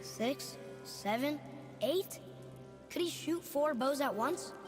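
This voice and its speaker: A man's voice: very high-pitched, animated, takes it slowly, over music, full character voice. A young male actor playing a curious, eager demigod boy in a video game, youthful, inquisitive and earnest in delivery. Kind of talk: video game character acting.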